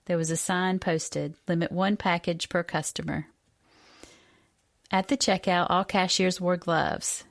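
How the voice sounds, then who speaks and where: A woman's voice: lowish voice, fairly even intonation, measured pace, vocal fry. A woman in her fifties from the United States reading a personal essay aloud as a scripted narration. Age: 50s. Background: music.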